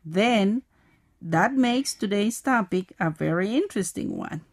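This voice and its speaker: A woman's voice: mid-range voice, lively intonation, measured pace. A woman, playing the curious student in a scripted English lesson. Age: 40s. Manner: curious, asking questions. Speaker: co-host acting as student.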